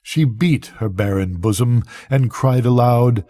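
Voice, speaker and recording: An older man reading a bedtime story as a grandfather, with measured, deliberate phrasing and pauses. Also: mid-range voice, warm tone, no room sound, studio quality.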